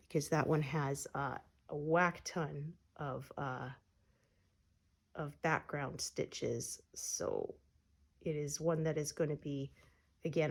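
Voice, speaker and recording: A middle-aged woman chatting casually as she works on a craft, relaxed and meandering with plenty of fillers, her voice a little congested from a cold. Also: low-pitched. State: recovering from a cold, slightly congested. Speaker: cross-stitch vlogger. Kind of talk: casual chat while stitching.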